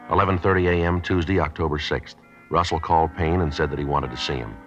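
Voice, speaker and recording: A man's voice: a bass voice, steady tone, fast talker. An actor playing a stoic police sergeant who narrates his case in a clipped, matter-of-fact radio drama style. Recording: dull vintage radio recording, faint music.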